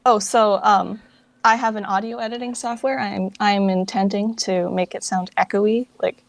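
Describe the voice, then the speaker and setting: A woman's voice: medium pitch, steady tone, normal speed, vocal fry. A woman chatting casually as an interview guest, with informal, hesitant phrasing and plenty of "like". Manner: informal, hesitant, filler-heavy phrasing with frequent "like". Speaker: interview guest, founder of a fan dub project.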